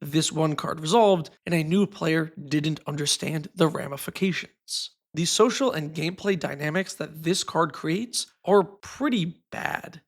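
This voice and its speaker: A man with a somewhat high, gravelly voice, made raspy by a cold. He talks through his views on a card game in a scripted, explanatory way with casual asides. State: sick, raspy voice. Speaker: card game content creator. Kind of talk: scripted video essay.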